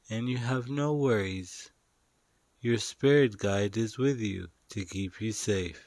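A man narrating a guided meditation in a soothing, instructional way, pausing between short phrases. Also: fairly low pitch, no room sound.

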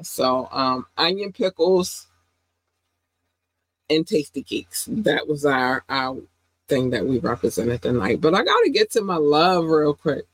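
Bubbly, affectionate woman podcast host. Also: no room sound. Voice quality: affectionate, calls listeners "baby", jumps into high squeals when excited. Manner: chatty and rambling, laughs often. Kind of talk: casual solo podcast chat with shout-outs.

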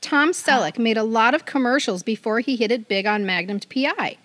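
A woman's voice: on the high side, lively intonation, normal speed, a bit bright, dry recording. A middle-aged woman co-hosting a podcast, chatting casually and reading aloud with a playful, theatrical quizmaster delivery. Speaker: podcast co-host. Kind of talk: casual chat, reading quiz questions aloud.

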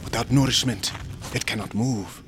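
An actor playing a solemn manservant devoted to an ancient cult, grave and incantatory, in a radio horror drama. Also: male voice, mid-range voice, normal speed, fairly bright tone, a little hiss or hum, close to an everyday voice. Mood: grave, cautious.

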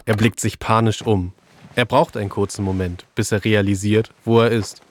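Low-voiced male audio drama narrator. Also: fairly even intonation, moderate pace.

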